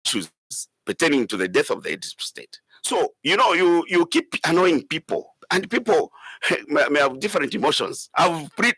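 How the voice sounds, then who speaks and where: A man with a Zambian accent speaking as a politician in an interview, hesitant and deliberate with many pauses. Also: mid-range voice, fairly bright tone, husky, dry recording, low-quality recording. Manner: hesitant, deliberate, pausing often. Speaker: politician, member of parliament. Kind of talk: spoken interview remarks.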